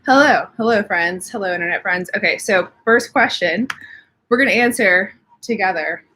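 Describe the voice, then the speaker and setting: A woman's voice: mid-range voice, normal speed, sharp tone, breathy edge, low-quality recording. A young woman with slightly sniffly allergies, chatting casually from home on a live stream and reading out viewer comments. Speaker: livestream host.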